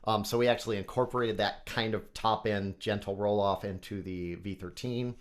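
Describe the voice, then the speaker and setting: A man's voice: on the low side, fairly even intonation, moderate pace. A man with a nasal voice, a guest on a podcast, chatting casually and technically about microphones. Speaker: guest, microphone company CEO.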